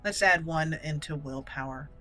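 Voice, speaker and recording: A woman's voice: low voice, moderate pace, bright, forceful tone. A woman hosting a let's-play video, commenting casually and talking to herself and the game characters as she plays. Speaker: gaming video host. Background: game music.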